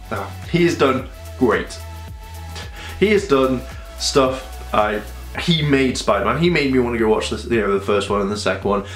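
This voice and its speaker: Congested man ranting to camera. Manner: animated, rambling, with sudden high-pitched outbursts. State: congested from a cold. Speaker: vlogger. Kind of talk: casual opinion vlog to camera. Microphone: camera on a tripod. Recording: echoey room with faint music.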